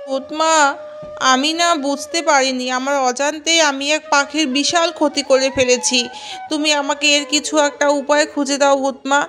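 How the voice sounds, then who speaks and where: A woman's voice: sits high, fairly even intonation, normal speed, a bit bright, faint music behind. A woman voicing a greedy but remorseful female demon in a children's cartoon, plaintive and exclamatory. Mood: remorseful, pleading. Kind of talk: Bengali children's cartoon voice acting.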